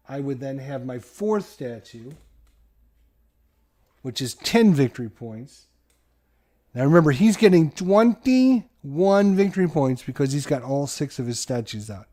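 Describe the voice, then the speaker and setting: Middle-aged male host, board game channel; energetic announcer-style commentary on his own play. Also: medium pitch. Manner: hyped, sports-announcer style play-by-play to viewers.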